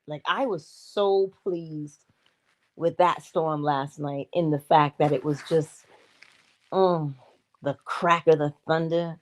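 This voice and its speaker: A woman hosting a casual morning livestream, chatty and playful, laughing often and breaking into sudden high exclamations. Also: darkish tone. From Barbados.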